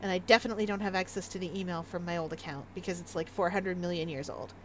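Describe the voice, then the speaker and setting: A woman's voice: fairly low pitch, steady tone, fast, a bit bright, a little hiss or hum. A Canadian woman with a light Maritime Canadian accent, chatting casually and giggling as she livestreams a game. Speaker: game streamer.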